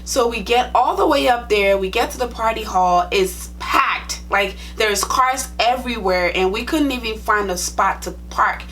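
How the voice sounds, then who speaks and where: A woman's voice: fairly high pitch, moderate pace, few pauses, a bit bright, slight background noise. A young woman with a Liberian accent, a nursing vlogger talking to her viewers in a chatty, direct, plain-spoken way. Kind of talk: casual storytime vlog.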